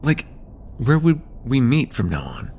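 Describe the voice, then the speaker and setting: A man's voice: mid-range voice, huge pitch swings, unhurried, gravelly, darkish tone, a little hiss or hum, exaggerated voice. A young man playing a friendly, slightly nervous college student in a casual, stammering slice-of-life ASMR roleplay chat. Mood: disappointed, wistful. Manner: hesitant, stammering, self-deprecating, casual.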